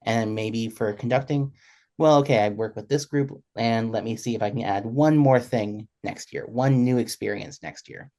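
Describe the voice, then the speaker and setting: A young adult man speaking as a panelist, thinking aloud in a reflective, halting way. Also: lowish voice, dark tone. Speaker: panelist, composer and conductor. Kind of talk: panel discussion talk, reflective.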